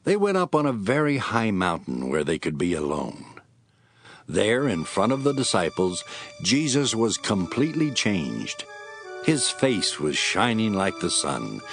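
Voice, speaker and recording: Guy narrating scripture from a script, lowish voice, a bit animated, music playing behind.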